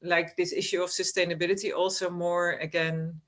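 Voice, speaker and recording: A woman's voice: on the low side, level tone, a little fast, a bit bright. A woman chatting reflectively on a video call, hesitant, often tagging her sentences with a questioning "no?". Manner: hesitant, often adds a tag "no?". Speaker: guest storyteller, cultural worker. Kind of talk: casual reflective conversation.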